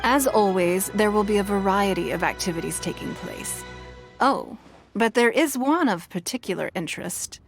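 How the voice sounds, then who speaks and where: A poised noblewoman who governs a harbor city, played by a woman, speaking with calm, measured authority in a mid-pitched voice. Her manner is gracious but firm, with loud game music underneath. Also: lightly acted. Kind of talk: game cutscene voice acting.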